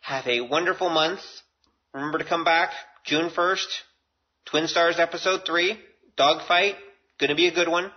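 A man's voice: fairly high pitch, normal speed. A man hosting a podcast in casual solo talk, with a rambling, self-deprecating manner. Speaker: podcast host. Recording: dull, lo-fi audio.

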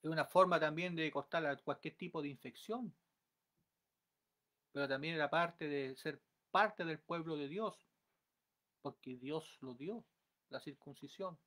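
A man in his thirties with a fairly high voice preaches an exhorting sermon into a webcam at close range. His delivery is earnest and builds into forceful pleas, recorded in a small room at home.

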